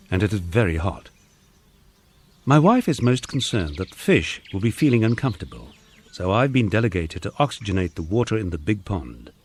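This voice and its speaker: A man's voice: fairly low pitch, dry recording. A man reading a memoir as a scripted audiobook narration.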